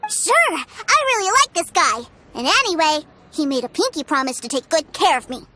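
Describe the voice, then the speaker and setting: Very high, eager little boy voiced by a woman. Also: very animated, normal speed, very noisy. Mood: proud. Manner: childlike, earnest, eager. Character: excitable, innocent, sheltered little boy. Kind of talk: scripted video game character acting. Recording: music behind the voice. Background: game music.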